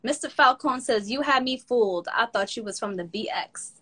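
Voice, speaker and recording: A woman hosting a casual interview, encouraging her guest with quick replies. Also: fairly high pitch, a bit bright, husky. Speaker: host.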